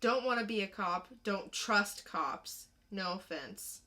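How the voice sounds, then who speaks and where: A woman's voice: medium pitch, expressive, takes it slowly, pushed and bright. A woman acting a defiant, streetwise teenage boy, guarded and slangy, in a dramatic comic-book reading. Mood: defensive, incredulous.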